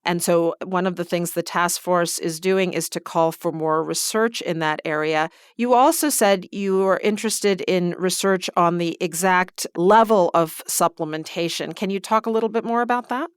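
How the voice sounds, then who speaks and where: A woman's voice: fairly low pitch, normal speed, few pauses, no room sound, clean studio sound. A woman hosting an interview podcast, asking structured questions and recapping the answers plainly. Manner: clear, structured, recapping what was said.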